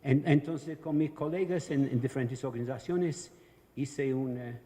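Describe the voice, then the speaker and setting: An older man with a Venezuelan-tinged Spanish accent, giving an unhurried, plain-spoken conference talk in a large hall. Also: mid-range voice, mellow. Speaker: conference panelist. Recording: long hall reverb.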